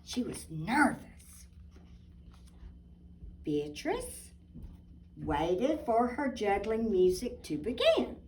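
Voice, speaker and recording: A woman reading a children's picture book aloud expressively, with dramatic pauses and asides to her listeners. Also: mid-range voice, sing-song, a little mellow. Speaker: storybook reader. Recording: voice distant from the mic.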